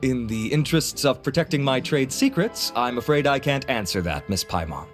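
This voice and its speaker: A man's voice: mid-range voice, expressive, moderate pace, soft background music, natural voice. A man playing a courteous, vain beauty-product researcher in a video game, speaking in a polished, formal, self-assured way. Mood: polite, assured.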